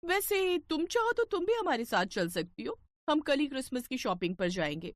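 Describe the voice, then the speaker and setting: A woman acts out a haughty younger daughter-in-law in a cartoon tale. The voice sits higher, boasting and taunting with a sharp tongue. The recording is dry. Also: very animated, moderate pace, no echo.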